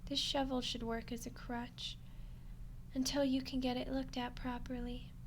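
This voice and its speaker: A woman's voice: high-pitched, level tone, normal speed. A woman voicing a friendly, lonely undead skeleton girl in an ASMR roleplay, chatting casually and awkwardly to the listener. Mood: apologetic, hopeful. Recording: clear background noise and ambience.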